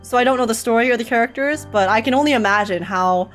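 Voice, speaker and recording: A giggly, excitable woman giving casual reaction commentary as she plays a game. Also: fairly high pitch, fast talker, soft background music.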